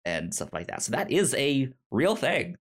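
A man co-hosting a casual film discussion, speaking haltingly and repeating words as he searches for his phrasing. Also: mid-range voice, sing-song, slightly rough. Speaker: podcast co-host.

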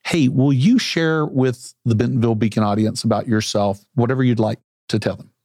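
A man hosts a podcast interview, asking questions in an upbeat, conversational way. His voice sits at an ordinary pitch. The recording is dry and close. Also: brisk, a little mellow, no echo. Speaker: podcast host.

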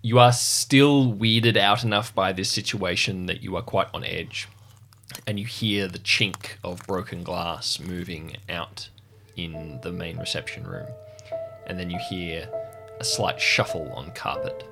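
A man working as game master, narrating a tabletop roleplaying game in measured, descriptive storytelling. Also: low voice, gravelly, a bit bright, faint music behind.

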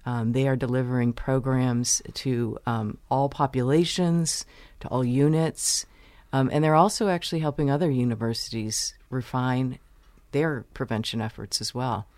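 Woman guest, from the US, measured and explanatory, close dry mic. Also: rumbling low, darkish tone, no room sound. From United States. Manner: measured, explanatory, pauses to choose words. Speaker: university vice president, interview guest. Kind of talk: interview answers.

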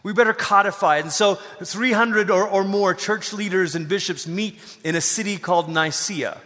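A middle-aged male pastor with a bright, forceful, fairly high voice preaches in a conversational style. He is an informal, animated storyteller, and his words ring with the long reverb of a large hall. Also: normal speed. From Malaysia. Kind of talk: conversational sermon with personal storytelling.

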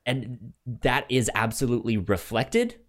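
This is a podcast co-host with a medium-pitched speaking voice in a casual discussion. The delivery is halting and conversational, with words repeated and sentences often begun again before they land. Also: lively intonation. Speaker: podcast co-host reviewing anime. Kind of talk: casual chat.

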